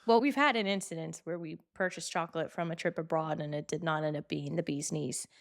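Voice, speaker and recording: A woman's voice: sits low, brisk, gravelly. A woman co-hosting a health podcast, chatty and playful while telling stories. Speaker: podcast co-host. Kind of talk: casual podcast chat.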